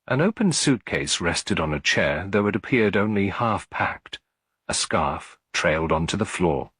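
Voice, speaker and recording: Deep, slightly husky male story narrator. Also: expressive, measured pace, fairly bright tone, no room sound. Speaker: audiobook narrator.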